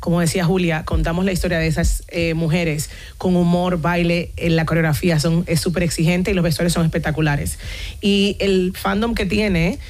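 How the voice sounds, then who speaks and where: A woman's voice: lowish voice, fairly even intonation, moderate pace, darkish tone. A young woman, a musical theatre performer, giving conversational answers in an interview. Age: young adult.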